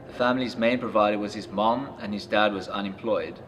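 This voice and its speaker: Young guy reading a true story out loud, kinda low voice, trails off with ums when he talks for himself. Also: fairly even intonation, noisy background. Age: young adult. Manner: halting, reflective when speaking freely, with many fillers. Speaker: youth reader. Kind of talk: reading a story aloud, then reacting.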